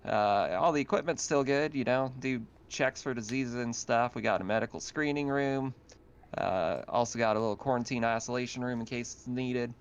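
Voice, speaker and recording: A man's voice: mid-range voice, normal speed, clean tone, natural voice. Male player as tired, folksy outpost administrator, casual hesitant talk, clean voice, lo-fi sound. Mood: weary, casual. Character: folksy, world-weary male colony administrator.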